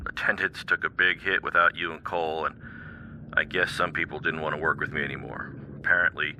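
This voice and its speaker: A man's voice: a bass voice, steady tone, fairly quick, background noise. A man playing a wistful, wounded former wrestling mentor and promoter in a video game. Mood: rueful. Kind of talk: dramatic game cutscene monologue.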